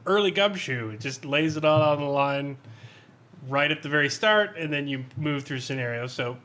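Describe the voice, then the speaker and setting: A man's voice: a little high, animated, normal speed, bright, forceful tone. An American man giving a casual podcast review with a dry, sardonic manner.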